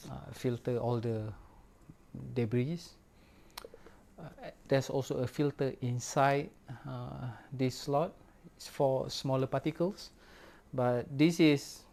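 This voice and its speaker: Slow, hesitant man reviewing gear. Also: medium pitch, vocal fry, a little mellow. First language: Malay. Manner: unhurried, hesitant, many fillers and restarts. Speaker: record store owner reviewing gear. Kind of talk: casual product review.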